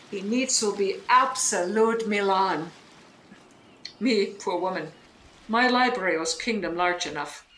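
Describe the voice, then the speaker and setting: A woman's voice: mid-range voice, sharp tone. A woman playing a bitter, scholarly deposed duchess and sorceress mother in Shakespearean audio drama, speaking in a declamatory, emphatic way that demands attention. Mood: indignant, grave. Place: indoor room, recorded over internet link. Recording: roomy remote recording, noise.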